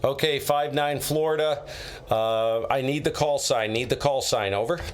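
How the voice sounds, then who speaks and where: A man's voice: mid-range voice, moderate pace. A man operating amateur radio during a contest, courteously giving call signs in phonetic letters and procedural phrases. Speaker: amateur radio operator, live stream host. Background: radio static.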